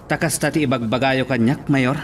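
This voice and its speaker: A man's voice: medium pitch, fairly even intonation, fairly quick, slight background noise, close to an everyday voice. A man playing a defiant, indignant challenger in an Ilocano audio drama.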